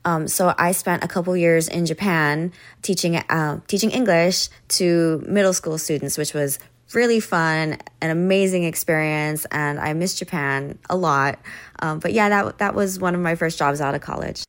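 A young woman from Hawaii, a singer-songwriter, chatting as an interview guest in a soft, hesitant way with many pauses. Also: low voice. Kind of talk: casual interview chat.

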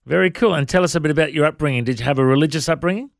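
A man's voice: fairly high pitch, rapid-fire, vocal fry, dry recording, clean studio sound. An Australian man hosting an interview show, introducing his guest and asking questions in a chatty way. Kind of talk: interview chat.

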